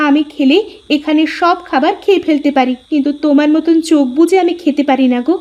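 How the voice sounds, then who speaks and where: High-voiced young village daughter-in-law played by a woman. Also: quick pace, darkish tone, lo-fi sound, lightly acted. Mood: indignant. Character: dutiful young village daughter-in-law, proud of her parents. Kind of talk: Bengali cartoon dialogue.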